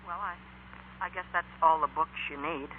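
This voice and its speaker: A young man acting an eager, awkward high school boy in a 1940s radio comedy-drama, stammering and flustered. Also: fairly even intonation, moderate pace, natural voice. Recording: lo-fi 1940s radio, faint hiss and hum.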